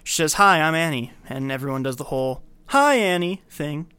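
Guy podcast host going through a movie scene, clean smooth voice, pretty dry sound. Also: fairly high pitch, lively intonation, moderate pace, pushed and bright, no room sound. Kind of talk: casual scene recap and commentary.

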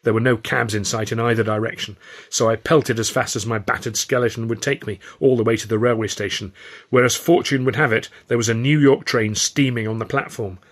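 Husky British man narrating a story. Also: mid-range voice, fairly even intonation, fairly quick. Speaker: author reading his own novel. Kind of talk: scripted first-person audiobook narration.

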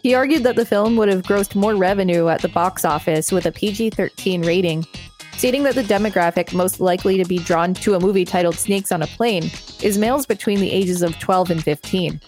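A woman podcast co-host with a medium-pitched voice. She moves between reading an article aloud and casual banter. Music plays faintly behind her. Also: brisk, a little mellow.

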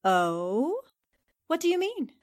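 A woman's voice: high voice, huge pitch swings, slow, full character voice. A woman playing a self-assured, inquisitive newspaper reporter turned detective, articulate and probing, in 1940s radio drama style.

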